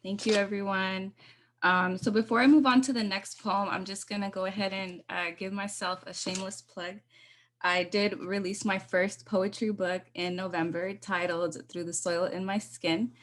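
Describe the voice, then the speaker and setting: A woman poet on a video call, reading her own spoken word poetry in a measured, earnest way and chatting casually between poems. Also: mid-range voice, steady tone, slight background noise. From United States.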